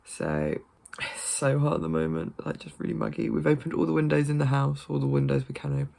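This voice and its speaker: A woman's voice: very deep voice, brisk, gravelly, dark tone. A British woman chatting casually in a vlog, rambling and swearing freely, sounding a bit congested. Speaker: gaming vlogger.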